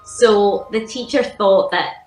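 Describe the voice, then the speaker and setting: A woman guest telling childhood stories in a measured, reflective way, with frequent ums. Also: mid-range voice, reverberant, faint music behind. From England. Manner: measured, reflective storytelling with fillers. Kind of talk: casual chat.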